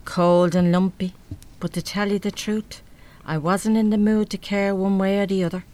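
A woman's voice: medium pitch, flat delivery, normal speed, darkish tone, no echo, natural voice. An actress playing a weary, no-nonsense older working-class mother in a live radio play, with an Irish accent and a blunt, wry, grumbling manner. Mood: wry, matter-of-fact.

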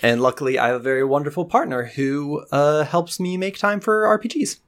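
A man's voice: a little high, fairly quick, a bit bright. A young man hosting a podcast, chatting casually and enthusiastically, rambling with lots of fillers. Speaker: podcast host and game master. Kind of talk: casual chat.

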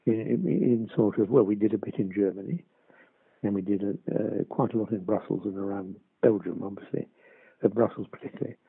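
Older man, actor interview, hesitant restarts, low husky voice, phone-like sound. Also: fairly quick, dry recording. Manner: hesitant, with many restarts and chuckles. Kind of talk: reminiscing interview. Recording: dry, tinny phone-like line.

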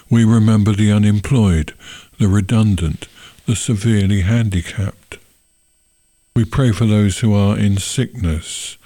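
A man leading a church service, giving a short sermon and prayers in measured, solemn phrasing. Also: fairly low pitch, warm tone, no echo.

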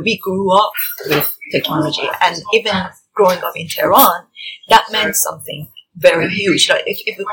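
A bright-toned, gravelly woman from Iran, talking thoughtfully in an interview and often restarting her sentences. There is a faint hum of restaurant noise behind her. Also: fairly low pitch. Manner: reflective, with frequent restarts and fillers. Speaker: guest. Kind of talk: interview conversation. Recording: noisy restaurant recording. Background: faint restaurant ambience.

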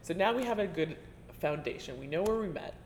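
A woman interviewing a colleague in a relaxed, chatty way, often trailing off and restarting and laughing as she talks. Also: on the low side, a little fast, a little hiss or hum. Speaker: interviewer, teaching artist. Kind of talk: conversational interview. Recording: reverberant room with faint ambience.

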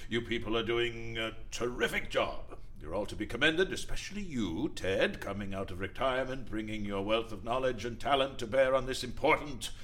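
An actor playing a flustered, officious deputy minister in a satirical audio drama, speaking formally with hesitant stammers. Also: male voice, mid-range voice, animated, moderate pace, few pauses, a bit bright, natural voice. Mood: effusive, ceremonious.

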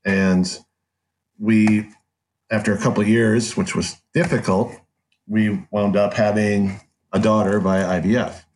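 A man's voice: fairly low pitch, flat delivery, a little slow, a little mellow. A middle-aged American man telling a personal story conversationally as an interview guest, candid and wry. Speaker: podcast guest, author.

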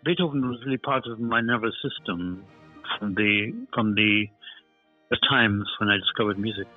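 An older man, reminiscing in a halting way with repeated phrases. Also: fairly low pitch, phone-quality sound, faint music behind. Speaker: composer. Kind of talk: reminiscing in conversation.

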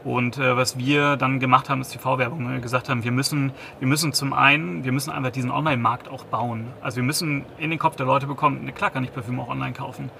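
A man's voice: mid-range voice, fairly even intonation, fast talker, creaky, hardly any pauses, pushed and bright, slight background noise. A man in his thirties, a company founder, giving a business interview, hesitant, with many restarts and fillers. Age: 30s.

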